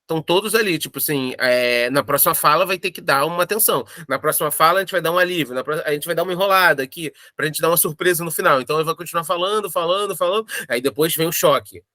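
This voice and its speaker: Bright, sharp-voiced Brazilian man explaining. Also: on the high side, dry recording, clean studio sound. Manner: halting, repetitive, emphatic explaining. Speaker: audio drama writer. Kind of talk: unscripted interview explanation.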